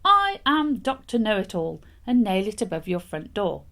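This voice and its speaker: Woman reading a male doctor, instructive, matter-of-fact, lively intonation, storybook character. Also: medium pitch, normal speed.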